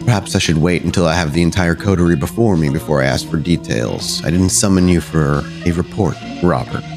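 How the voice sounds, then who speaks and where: Man as a low-voiced, composed vampire prince. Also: music in the background. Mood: composed, gently playful. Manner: measured, formal, understated. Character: composed, powerful vampire prince of Chicago, subtly playful.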